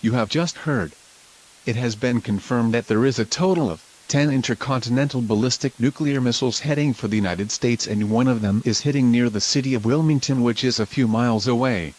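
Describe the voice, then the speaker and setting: A man's voice: medium pitch, a little fast, darkish tone, natural voice, poor audio. Man acting as formal emergency news anchor. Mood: grave, urgent. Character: local TV news anchor reporting a nuclear attack. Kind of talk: scripted emergency broadcast narration.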